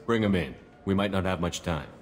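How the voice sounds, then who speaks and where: An actor playing a steady, resolute male military commander in a video game, speaking in a terse, controlled, direct way. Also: low voice, fairly quick, in a large hall, lightly acted. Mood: firm.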